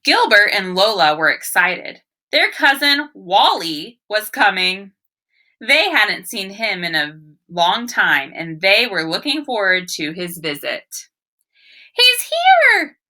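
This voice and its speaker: This is a woman teaching young children through a read-aloud. Her voice is very bright and forward, with lively rises and falls. She addresses the class directly and stresses sounds and words as she explains them. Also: mid-range voice, takes it slowly. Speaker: elementary school teacher.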